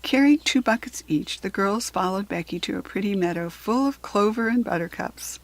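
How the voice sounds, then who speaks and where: An American woman reading a children's book aloud in an expressive, instructive storytelling style. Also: mid-range voice, normal speed, poor audio.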